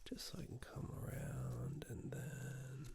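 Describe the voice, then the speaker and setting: A man doing a soft-spoken ASMR roleplay as a tailor, breathy and often whispered, slow and reassuring, close to a binaural microphone. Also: medium pitch, steady tone. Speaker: ASMR performer playing a tailor taking measurements.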